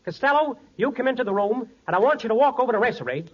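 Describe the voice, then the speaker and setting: A man's voice: extremely high pitch, lively intonation. A man playing a fussy film director who slips earnest gibberish into his sentences, in 1940s radio comedy. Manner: rapid double-talk, nonsense slipped into sentences. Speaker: comic supporting actor. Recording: muffled 1940s radio recording, studio audience.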